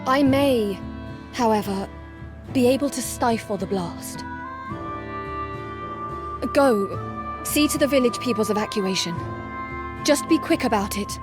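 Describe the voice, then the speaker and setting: Formal, stoic warrior goddess, slightly high. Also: female voice, over music. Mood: firm, commanding. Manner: formal, measured, detached. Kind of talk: video game cutscene acting.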